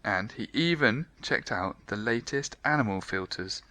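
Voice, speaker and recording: A man reading a children's story aloud in an expressive, playful, emphatic way. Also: on the low side, unhurried.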